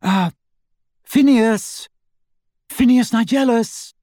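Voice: mid-range voice, very animated, normal speed, darkish tone, slightly rough, no echo, studio quality, pitched above the performer's own voice. A performer voicing an earnest, insistent teenage girl, a young witch who speaks politely and precisely, in an audiobook. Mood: coaxing.

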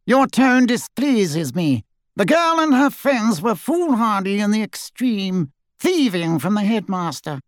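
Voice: mid-range voice, sing-song, unhurried, no room sound, clean studio sound, voice pushed up. A performer voicing a cold, haughty old wizard in a portrait, with pompous, sneering, old-fashioned speech, in an audiobook. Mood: haughty, displeased.

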